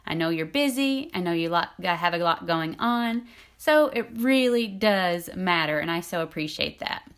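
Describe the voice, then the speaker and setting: A woman's voice: lowish voice, expressive, normal speed, a bit bright, smooth. A middle-aged woman with a soothing voice, hosting her own podcast as an intimacy coach in a friendly, chatty way. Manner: friendly, chatty, laughs lightly. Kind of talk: solo podcast talk, partly scripted.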